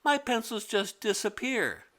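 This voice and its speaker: A man's voice: sits high, sing-song, a little slow, fairly bright tone, voice pushed up, heavily acted. A man voicing an innocent, scatterbrained young schoolgirl in a children's storybook. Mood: innocent, puzzled.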